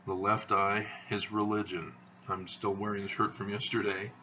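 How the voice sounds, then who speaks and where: A man hosting his own video channel, talking in a slow, halting monotone with many fillers as he rambles. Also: lowish voice, distant mic, dull audio, slight background noise. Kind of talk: rambling monologue to camera.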